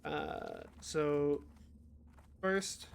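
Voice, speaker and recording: A man's voice: fairly high pitch, huge pitch swings, drawn-out words, gravelly, slight background noise. A man with a cold, sniffly and a bit stuffed up, chatting casually and hesitantly while playing a game, with lots of fillers and restarts. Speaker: gaming YouTuber.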